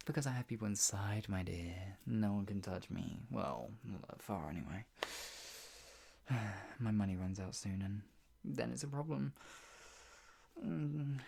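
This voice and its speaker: A performer playing a possessive, sadistic yandere captor in an improvised ASMR roleplay, mocking and menacing, with a breathy voice that often drops toward a whisper. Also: mid-range voice, darkish tone. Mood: mocking, accusing. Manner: menacing, mocking, slow drawn-out taunts broken by laughs and sighs.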